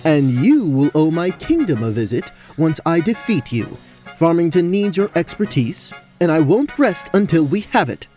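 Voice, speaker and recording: A man's voice: fairly high pitch, very animated, normal speed, dark tone, faint music behind, voice pushed up. A man voicing a proud, indignant, formal envoy of a kingdom in a fantasy audio drama. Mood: determined. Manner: pompous, bristling.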